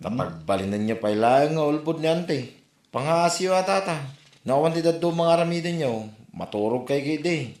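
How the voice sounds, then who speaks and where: A man's voice: on the high side, lively intonation, normal speed, darkish tone, lightly acted. A man playing an exasperated, reproachful grown son with an Ilocano accent in a comedy drama. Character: exasperated grown son scolding his drinking father. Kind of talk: Ilocano comedy radio-style drama acting. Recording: roomy echo.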